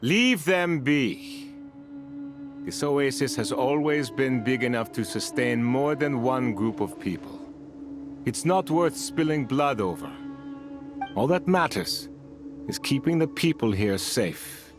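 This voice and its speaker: Kindly desert chief, expressive. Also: male voice, medium pitch, takes it slowly, over music. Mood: calm, firm. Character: kindly, calm male chief of desert oasis tribe. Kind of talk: scripted game dialogue.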